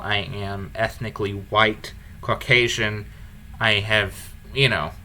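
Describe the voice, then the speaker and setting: Man with a bright, forceful baritone. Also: a little hiss or hum. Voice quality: deep low baritone. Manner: reflective, candid, restarts phrases. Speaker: podcast host. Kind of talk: earnest conversational podcast talk.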